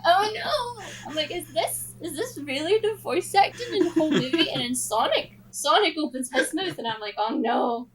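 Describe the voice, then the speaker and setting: A woman co-hosting a podcast in casual chat, telling things in an expressive, giggly way. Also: high-pitched, normal speed, few pauses, a crowd in the background, poor audio. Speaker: podcast co-host.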